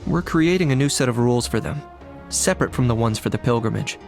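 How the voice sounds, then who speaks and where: A man's voice: medium pitch, expressive, fast talker, vocal fry. Blunt young warrior man. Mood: matter-of-fact. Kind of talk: video game voice acting. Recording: game music behind the voice.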